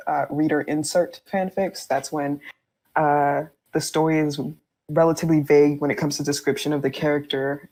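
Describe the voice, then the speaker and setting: A woman's voice: sits low, gravelly, dark tone, poor audio. A woman speaking as a podcast guest, explaining things in a casual, conversational style. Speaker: podcast guest, fan fiction writer.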